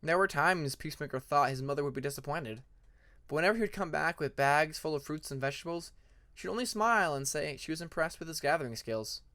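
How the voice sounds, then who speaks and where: A man's voice: on the high side, quick pace, bright, forceful tone, dry recording. A man narrating scripted fiction as an audiobook reader, with a dramatic storytelling manner.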